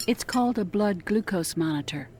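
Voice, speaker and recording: A woman's voice: mid-range voice, expressive, normal speed, dark tone, a little hiss or hum, lightly acted. A woman playing a practical, hospitable farmer's wife in an audio drama, polite and plain-spoken. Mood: hospitable, matter-of-fact.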